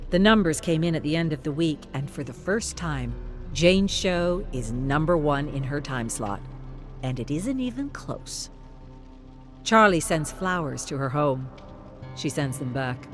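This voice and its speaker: A narrator reading a scripted dramatic story. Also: mid-range voice. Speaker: narrator of game lore logs. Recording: music behind the voice. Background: music.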